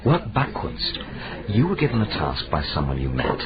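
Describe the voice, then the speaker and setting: An actor playing an earnest, well-bred young gentleman in a radio drama, inquisitive, precise and formal. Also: low-pitched, wildly swooping pitch, moderate pace, crowd noise. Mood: reasoning. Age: young adult. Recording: dull, noisy radio drama recording.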